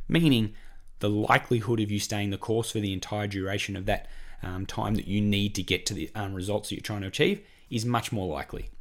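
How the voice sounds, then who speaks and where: Husky, croaky Australian man, low-voiced. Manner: encouraging, repetitive, chatty. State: hoarse, croaky from a cough. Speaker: weight-loss coach and podcast host. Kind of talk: solo podcast monologue.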